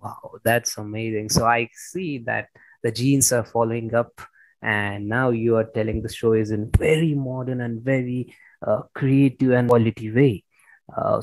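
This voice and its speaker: A man's voice: fairly low pitch, no echo, low-quality recording. Indian-accented man hosting, close dry sound. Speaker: podcast host, interviewer. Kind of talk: informal interview hosting.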